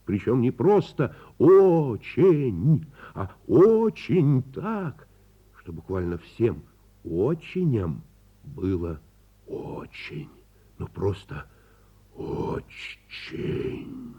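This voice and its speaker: Man as a dreamy wizard, slow and swooping. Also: medium pitch, wildly swooping pitch, husky, dull audio, pitched above the performer's own voice, exaggerated voice. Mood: rapt wondering. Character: dreamy, whimsical male wizard musing aloud. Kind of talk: radio fairy-tale acting.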